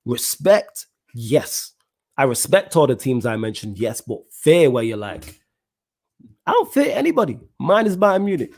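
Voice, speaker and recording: A man hosts a live football podcast stream, working through viewer comments one by one. He talks in animated, slang-heavy bursts and keeps checking in with his audience. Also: a little high. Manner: animated, heavy slang, keeps saying "you know what I'm saying". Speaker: podcast host.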